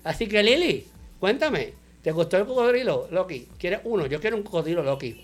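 A man, co-hosting a casual show review chat, animated and mixing in English words. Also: high-pitched, brisk. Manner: animated, mixes English words, laughs often. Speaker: podcast co-host.

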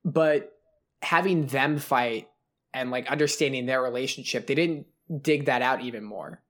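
Podcast co-host, mid-pitched voice, casual, rambling film critique. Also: normal speed, fairly bright tone. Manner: analytical, rambling with fillers. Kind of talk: casual film review chat.

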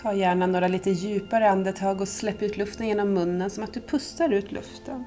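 A woman leading a guided yoga nidra meditation as instructor, calm, soothing and unhurried, with long pauses. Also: mid-range voice, music in the background.

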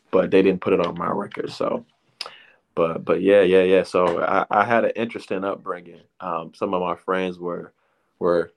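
A man's voice: low voice, vocal fry. A man in his thirties from the Detroit area, telling his life story in a rambling, slangy way as an interview guest. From Detroit area, USA. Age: 30s. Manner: rambling, many restarts and slang. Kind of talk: casual personal testimony.

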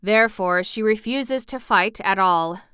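A woman voicing a kindly, anxious emperor made of tin in a storybook, speaking formally and earnestly in a measured way. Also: medium pitch, sharp tone, clean tone, no room sound, studio quality. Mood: grave, earnest. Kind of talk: storybook narration character voice.

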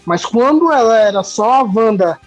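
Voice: mid-range voice, expressive, normal speed, no room sound, highs cut off, faint music behind. A podcast co-host in casual chat, hesitant and repeating words as they start thoughts.

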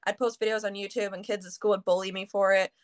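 A woman's voice: mid-range voice, little pitch movement, fast. A woman in her early twenties from Canada, a singer chatting casually as a guest in an interview and leaning on "like" between phrases. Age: early 20s. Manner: chatty, heavy use of "like" fillers.

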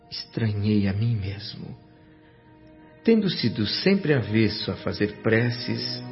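An actor playing a humble, emotional doctor's spirit who narrates a Brazilian radio drama in an earnest, reflective, reverent way. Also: male voice, fairly low pitch. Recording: dull audio with background music.

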